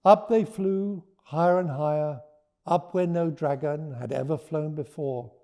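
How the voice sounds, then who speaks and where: A man with a mellow, creaky voice, pitched a little high for a male speaker. He talks as a children's storyteller, earnest and deliberate in his phrasing. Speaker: author and storyteller. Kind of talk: expressive picture-book read-aloud and informal explanation.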